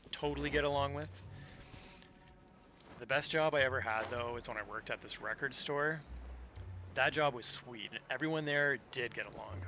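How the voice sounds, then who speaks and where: A man's voice: medium pitch, a little hiss or hum. A man streaming a video game from a home office, chatting casually in a laid-back, rambling way. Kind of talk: casual livestream chat while playing. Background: loud video game music and sounds.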